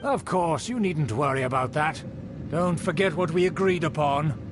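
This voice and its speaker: An actor playing a pompous, scheming aristocrat in a video game, speaking in a formal, theatrical manner. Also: male voice, fairly high pitch, animated, moderate pace, close to an everyday voice. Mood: haughty, boastful. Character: pompous scheming aristocrat man obsessed with clan glory. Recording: game dialogue with music behind.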